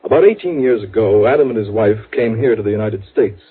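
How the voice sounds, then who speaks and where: An actor playing an anxious, proper insurance executive in a 1950s radio drama, earnest, hesitant and formal. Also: male voice, on the low side, lively intonation, fast, breathy edge. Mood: earnest, concerned. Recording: tinny vintage radio, phone-like band.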